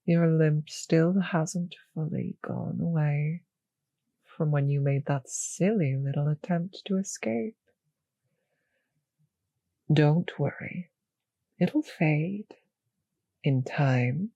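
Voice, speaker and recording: An actress playing a possessive, condescending vampire mistress in an intimate roleplay audio drama, speaking in a breathy, husky, patronizing, savouring way. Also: female voice, low voice, mellow, no room sound, lo-fi sound, heavily acted. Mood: quietly menacing.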